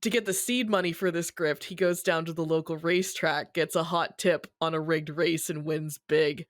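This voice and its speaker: A podcast co-host speaks at a medium pitch in a very dry, close recording. The delivery is a casual story recap, told in colloquial phrasing with some swearing. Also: fairly even intonation, normal speed, no room sound.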